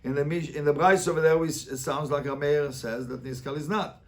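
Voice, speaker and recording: A man's voice: on the high side, fairly even intonation, fairly quick, slight background noise. A male rabbi teaching an interactive Talmud lesson, explaining emphatically and repeating phrases to make his point.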